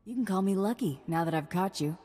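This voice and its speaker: A tough mercenary heroine in a video game. The low voice is clipped, dry and no-nonsense, and it rings in a reverberant space. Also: female voice, lively intonation, a little fast, dark tone. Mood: wry, threatening. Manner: curt, wry, no-nonsense. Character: tough, curt female bounty hunter.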